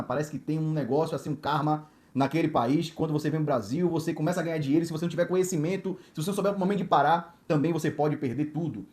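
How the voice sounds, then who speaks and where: A man's voice: a little high, a little mellow. A man, a watch distributor telling his life story in an emphatic, motivational way, repeating words in lists and adding frequent tag questions. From Salvador, Bahia, Brazil.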